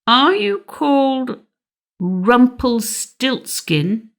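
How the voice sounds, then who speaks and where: A woman's voice: a little high, big pitch swings, drawn-out words, gravelly, voice pushed up, heavily acted. A woman voicing a young queen in a fairy tale audiobook, coy and questioning. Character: anxious young queen guessing a dwarf's name.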